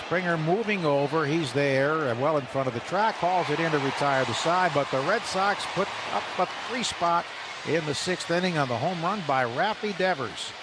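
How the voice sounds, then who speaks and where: A man calling baseball play-by-play on radio, brisk and rising for big plays. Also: fairly high pitch, animated, background noise. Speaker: play-by-play announcer.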